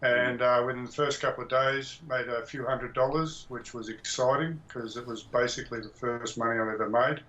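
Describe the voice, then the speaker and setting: A man's voice: medium pitch, steady tone, moderate pace, few pauses, bright, forceful tone, slight background noise. A middle-aged retired man giving a plain, modest testimonial with hesitant fillers. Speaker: course student. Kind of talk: testimonial on a call. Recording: dull lo-fi call audio.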